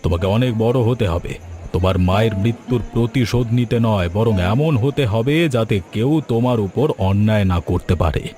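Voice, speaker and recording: A man playing a kindly, protective gentleman in a cartoon drama, speaking in an earnest, fatherly way. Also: fairly low pitch, moderate pace, faint music behind, close to an everyday voice. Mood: earnest, reassuring. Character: kindly, protective middle-class gentleman who adopts a village girl. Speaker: cartoon character voice.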